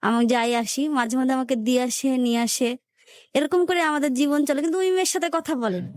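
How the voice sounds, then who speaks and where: High-pitched young Bangladeshi woman. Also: fairly quick, darkish tone, no room sound. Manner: colloquial, re-enacts reported dialogue as she narrates. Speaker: guest telling her life story. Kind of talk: personal storytelling in an interview.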